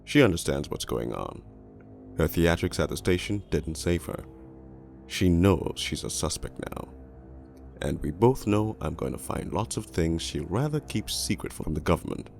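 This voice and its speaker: A man plays a hard-boiled, corrupt detective narrating a noir storybook. The voice is extremely low and thick with gravel, brooding and deliberate in its cynicism. Music runs clearly behind it. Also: lively intonation, a little mellow. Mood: grim.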